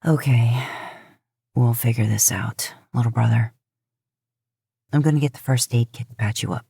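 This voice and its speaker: A woman voicing a protective, gruff older brother who talks in a plain, coaxing, reassuring way in a story reading. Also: a bass voice, normal speed, warm tone, dry recording, studio quality, pitched below the performer's own voice, full character voice.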